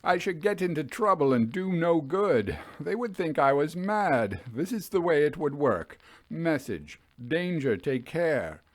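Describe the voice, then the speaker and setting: A man voicing a troubled, haunted railway signal-man, earnest and deferential, in a halting, deliberate dramatic ghost-story reading. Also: fairly high pitch, very animated, no room sound, pitched above the performer's own voice. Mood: anguished, fretful.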